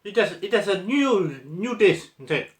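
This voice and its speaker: A man's voice: high voice, sing-song, moderate pace. A young man from Malaysia, talking to viewers in a casual taste-test vlog. He sounds playful and exclamatory, and he laughs often. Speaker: food vlogger. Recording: echoey room.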